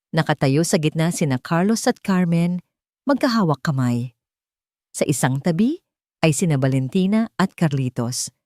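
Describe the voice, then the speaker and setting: A woman storyteller with a low-pitched, mellow voice narrates a scripted drama. Her delivery is measured and sentimental, and the sound is dry, with no room around it. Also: no echo.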